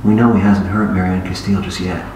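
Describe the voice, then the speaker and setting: An actor playing a calm adult investigator who gently coaxes a young witness. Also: male voice, deep voice, fast talker, close to an everyday voice. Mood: coaxing. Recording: echoey, distant, noisy.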